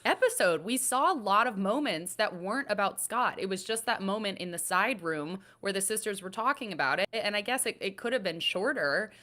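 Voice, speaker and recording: A woman's voice: mid-range voice, sharp tone. A woman on a recap panel giving casual opinions, conversational and thinking aloud as she goes.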